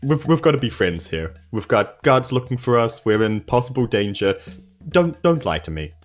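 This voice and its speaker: A man's voice: medium pitch, big pitch swings, moderate pace, soft background music, lightly acted. A man with a New Zealand accent playing a polite, exasperated human adventurer in a tabletop game, hesitant and pleading. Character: weary, polite, awkward human male adventurer. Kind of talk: improvised tabletop roleplay.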